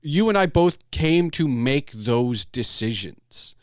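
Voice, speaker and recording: A man hosting a casual podcast conversation, talking in a halting way and often repeating words as he thinks. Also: mid-range voice, animated, no echo, clean studio sound. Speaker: podcast host.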